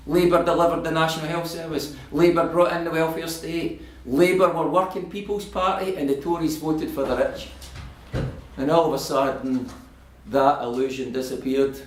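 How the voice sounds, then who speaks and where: A Scottish man in his fifties giving a passionate political talk to a local audience in a community hall, building to emphatic oratory. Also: medium pitch. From Scotland. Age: 50s. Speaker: guest speaker. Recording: echoey room.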